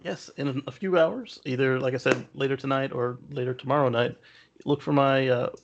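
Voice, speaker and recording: A man's voice: mid-range voice, fairly even intonation, moderate pace, gravelly, highs cut off, a little hiss or hum. A man co-hosting a podcast in casual, opinionated discussion, often repeating words as he feels out a point. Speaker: podcast co-host.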